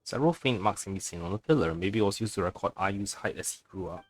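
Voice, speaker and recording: A man's voice: low-pitched, expressive, fast talker. A man streaming a video game, casually reading on-screen text aloud and reacting with the odd curse. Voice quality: casual, swears. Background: faint game music.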